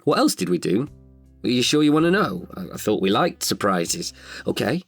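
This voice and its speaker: A man's voice: medium pitch, very animated, moderate pace, husky, dry recording, faint music behind. A man in his thirties performing an intimate, chatty monologue as an older, wiser self tenderly addressing his past self. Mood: wry, fond. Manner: chatty, wry, heartfelt. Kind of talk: intimate confessional audio monologue.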